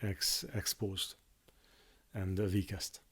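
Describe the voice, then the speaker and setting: A man whose first language is Hungarian, talking in an unscripted monologue on his channel, halting with many restarts and fillers. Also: low voice, level tone, a bit bright, breathy edge. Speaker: YouTuber.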